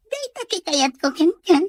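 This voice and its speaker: A woman's voice: very high-pitched, dry recording, clean studio sound, heavily acted. A woman playing a sly, gloating sweetheart who plots for money in an Ilocano audio drama. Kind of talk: Ilocano radio drama acting.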